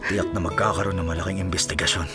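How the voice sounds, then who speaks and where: A man's voice: low voice, flat delivery, quick pace, close to an everyday voice. An actor playing a charming, exasperated policeman husband in a Filipino radio drama, teasing and whining theatrically over music. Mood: weary, teasing. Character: charming married policeman, playfully exasperated husband who sings. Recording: loud music behind the voice. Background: loud music.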